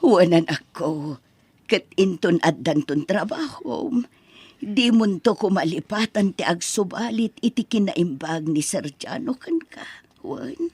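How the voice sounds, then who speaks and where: A woman acting a tender, emotional, grateful mother in an Ilocano radio drama, speaking softly with an Ilocano accent. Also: lowish voice, expressive, unhurried, husky, no room sound, exaggerated voice. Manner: soft, emotional. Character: tender, tearful, grateful poor mother of graduating daughter.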